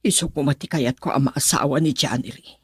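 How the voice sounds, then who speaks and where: A woman voicing a worried, middle-aged mother in a radio drama who schemes at matchmaking and speaks in a slow, musing, husky voice. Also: a bass voice, dry recording, heavily acted. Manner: slow, musing, warmly excited on the phone. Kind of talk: Ilocano radio drama acting.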